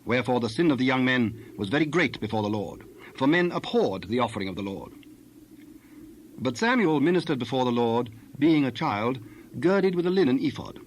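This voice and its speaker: Male narrator, medium pitch, formal measured scripture reading, faint background ambience. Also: sing-song, a little hiss or hum.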